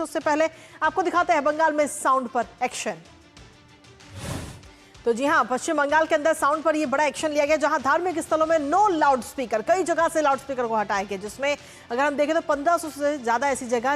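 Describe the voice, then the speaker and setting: A woman news anchor with a high voice delivers live bulletin commentary. She is emphatic and restates key phrases, with faint music behind her. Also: fairly bright tone. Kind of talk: live Hindi news presenting.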